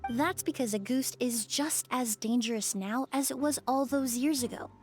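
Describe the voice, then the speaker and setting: A woman plays a young female investigator in a video game, and her voice sits high. She lays out her reasoning step by step in a clear, measured way. Faint music plays underneath. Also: darkish tone, close to an everyday voice. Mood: explaining. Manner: measured, laying out a deduction step by step.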